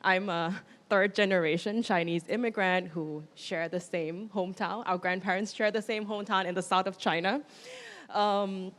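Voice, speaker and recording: A woman's voice: medium pitch, fairly even intonation, moderate pace, fairly bright tone. A young woman with a Thai accent, a curator speaking on an art panel, thoughtful, with light laughs between points. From Thailand. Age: young adult. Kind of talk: panel discussion.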